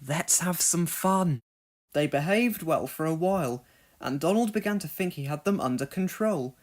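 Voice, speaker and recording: A narrator reading a children's read-along story expressively, in deliberate phrases. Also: medium pitch.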